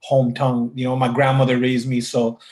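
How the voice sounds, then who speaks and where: A man from Canada, a rapper guest telling his story in a relaxed way, with many filler words. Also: medium pitch, moderate pace, reverberant. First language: Punjabi. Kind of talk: casual interview over a call.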